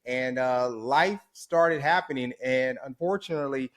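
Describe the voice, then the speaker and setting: A man from Houston, Texas, talks as a guest in a casual interview. His voice sits at an ordinary middle pitch. He tells his story in an upbeat, reflective way with an easy flow. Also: unhurried.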